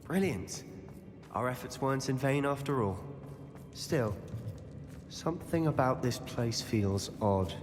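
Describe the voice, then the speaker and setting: A reverberant, music-backed game scene with a man voicing a British teenage wizard. He is wry and curious, with expressive, lively intonation and articulate delivery. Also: medium pitch, unhurried, pauses often, lightly acted. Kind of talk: video game cutscene acting. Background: orchestral game music.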